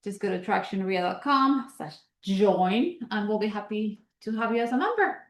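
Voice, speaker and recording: A woman's voice: mid-range voice, animated, moderate pace, echoey room. A woman with a Colombian accent hosting announcements at an online meeting, talking casually with many fillers and an upbeat promoting tone. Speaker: investor association host.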